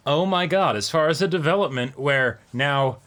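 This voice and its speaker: A man's voice: fairly high pitch, moderate pace, fairly bright tone. A man talking casually and unscripted as co-host of an anime reaction discussion. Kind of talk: casual chat, unscripted commentary.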